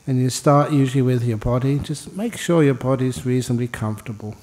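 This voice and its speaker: A man leading a guided meditation as a monk and teacher, speaking informally in short, unhurried phrases with pauses and gentle humour. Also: mid-range voice, in a large hall. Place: indoor meditation hall.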